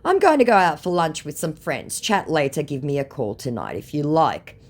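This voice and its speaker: A woman voicing a smooth-talking male romance scammer with flattering, broken phrasing. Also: low-pitched, moderate pace, voice pushed up.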